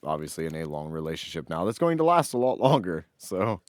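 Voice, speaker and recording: Low-voiced man in casual podcast banter. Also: sing-song, brisk, dry recording, studio quality. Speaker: podcast co-host. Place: small office.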